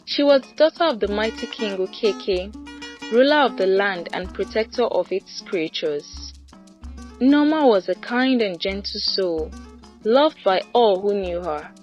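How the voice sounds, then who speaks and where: A woman's voice: medium pitch, animated, a little slow, a little mellow, dull audio, faint music behind. A woman narrating a scripted folktale as a storyteller.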